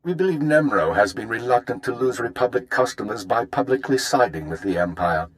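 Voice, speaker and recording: A man playing a stern, formal intelligence chief in a video game, giving measured, authoritative orders. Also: mid-range voice, animated, natural voice. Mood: formal, businesslike. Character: stern male imperial intelligence chief briefing an agent. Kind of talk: scripted video game dialogue.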